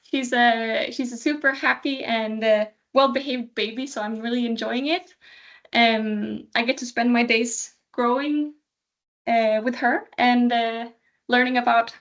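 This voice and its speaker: A woman's voice: a little high, steady tone, a little slow, fairly bright tone, dull audio. Cheerful Swedish-born woman in her thirties. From Sweden. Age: 30s. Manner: cheerful, laughs lightly between sentences. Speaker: alumni speaker. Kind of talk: casual personal update on a video call. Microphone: video call.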